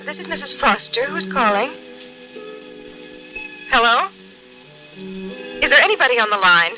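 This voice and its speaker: An actress playing a puzzled wife on a long-distance telephone call in a radio suspense drama. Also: female voice, a little high, big pitch swings, a little slow, over music. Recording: dull 1960s radio broadcast, telephone scene.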